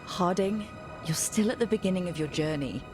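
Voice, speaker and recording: A calm heroine, acted by a woman, talks in a fantasy video game in a slightly low voice. She is supportive and gently conversational, with loud music playing behind her. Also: moderate pace, close to an everyday voice. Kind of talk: video game dialogue acting. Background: loud game soundtrack music.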